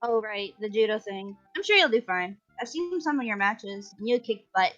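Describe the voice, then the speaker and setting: A woman's voice: mid-range voice, very animated, normal speed, a bit bright, lo-fi sound, soft background music. A woman reading a bossy, teasing teenage schoolgirl in a visual novel, scolding and exasperated. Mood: teasing, reassuring.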